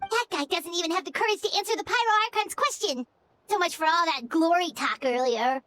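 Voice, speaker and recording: Squeaky, very high-pitched little fairy girl. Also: a little fast, fairly bright tone. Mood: scornful. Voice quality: squeaky, childlike. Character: tiny floating fairy companion, childlike, blunt and chatty. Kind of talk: video game voice acting. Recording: music under the voice.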